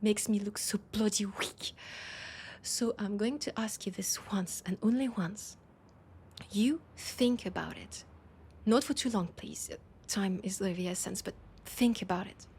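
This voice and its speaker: A woman playing a proud but desperate vampire leader in an intimate audio drama, with a French accent and a halting, breathy delivery that slips toward whispers. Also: mid-range voice, a little mellow, slight background noise, natural voice. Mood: pleading, ashamed. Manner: hesitant, halting, breathy asides dropping into whispers.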